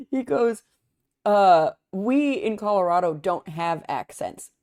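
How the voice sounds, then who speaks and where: A woman's voice: medium pitch, expressive, takes it slowly, a little mellow. A young woman from Chicago telling a story in a casual podcast chat, often laughing, swearing and acting out what people said. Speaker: podcast co-host.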